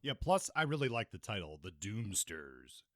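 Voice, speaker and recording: A man's voice: lowish voice, very animated, moderate pace, a bit bright, no room sound, clean studio sound. A man co-hosting a podcast in a conversational book-discussion style. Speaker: podcast co-host.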